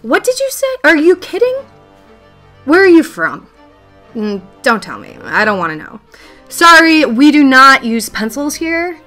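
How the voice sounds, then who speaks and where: A strict woman schoolteacher in a radio play, scolding in a high, bright voice that swoops with scorn. She is haughty, impatient and theatrical, with music around her. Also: huge pitch swings, unhurried, full character voice. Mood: indignant. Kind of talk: family radio play acting.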